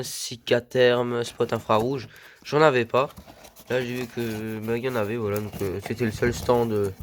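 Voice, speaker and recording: Hoarse man rambling through his finds. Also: medium pitch, a little hiss or hum. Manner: rambling, many fillers like voilà and euh. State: hoarse from a cold. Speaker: lighting collector vlogger. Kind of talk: casual show-and-tell vlog.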